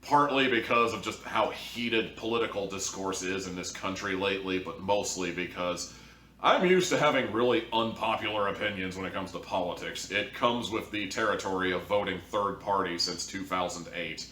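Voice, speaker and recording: A man's voice: deep voice, normal speed, pushed and bright. A man giving an informal, ranting critical review in an exasperated, theatrically dramatic way, drawing out words for emphasis. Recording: echoey room, distant mic, faint noise.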